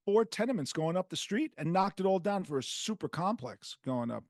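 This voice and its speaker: An older New York man hosts a podcast in a casual, rambling way, slipping into animated voices when he quotes people. His voice is gravelly and sits fairly high for a man. The sound is dry and close to the mic. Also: a little fast, no room sound. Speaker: podcast host.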